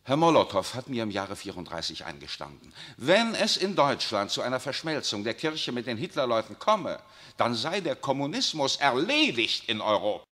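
A suave ironic nuncio. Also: male voice, medium pitch, sing-song, normal speed, fairly bright tone. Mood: lecturing. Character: urbane worldly male papal nuncio, middle-aged. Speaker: actor in a radio play. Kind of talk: 1960s radio drama acting.

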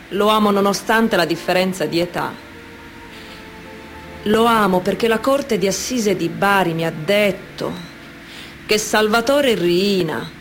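Actress as a slow-spoken, defiant mafia wife. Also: female voice, medium pitch, a little hiss or hum, close to an everyday voice. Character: proud, defiant, devoted wife of a mafia boss. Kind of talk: dramatic radio monologue.

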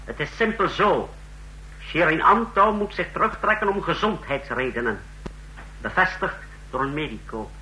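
An actor in a Dutch radio play voicing a proud, menacing secret-service officer with a clipped, formal way of briefing. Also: male voice, fairly high pitch, animated, moderate pace, pushed and bright, poor audio. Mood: self-assured briefing. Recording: faint hum, old radio recording.

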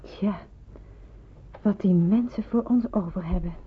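A woman's voice: medium pitch, measured pace, breathy edge. A woman playing a sincere, grateful young person in wartime hiding, in radio drama dialogue. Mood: grateful, sober. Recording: narrow, tinny old radio recording.

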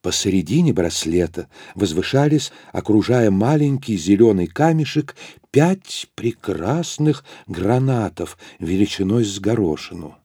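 A man narrating a literary audio drama in a measured storytelling manner. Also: on the low side, lively intonation, dark tone, breathy edge, no room sound, studio quality.

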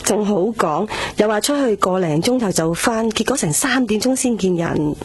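A fussy Hong Kong mother played by an actress chides her grown daughter in a radio play. Her voice is of middling pitch for a woman, and she scolds as she goes. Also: lively intonation, moderate pace, a little mellow, a little hiss or hum, close to an everyday voice. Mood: chiding. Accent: Hong Kong Cantonese. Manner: scolding, chiding. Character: nagging, fussing older mother of a grown daughter. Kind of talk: radio drama acting.

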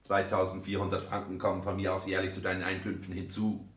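A driven, domineering son in a vintage radio play. His voice sits fairly low and he declaims in clipped, imperious phrases. The studio around him sounds roomy. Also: completely flat delivery, quick pace, fairly bright tone. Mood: impatient, commanding. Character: driven, domineering adult son and social climber. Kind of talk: German radio play acting.

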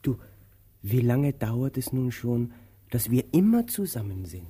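A dark-voiced, husky old tramp in a radio play. He is gloomy and resigned, stubborn and drily ironic, delivering everything in a brooding deadpan. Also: mid-range voice, a little slow. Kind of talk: German radio play stage acting.

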